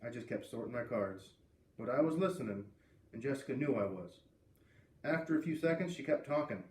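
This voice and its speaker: A man's voice: medium pitch, expressive, a little fast, a little mellow. A man, a teacher reading a children's novel aloud in a storytelling style with casual asides. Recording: roomy, distant mic, faint background noise.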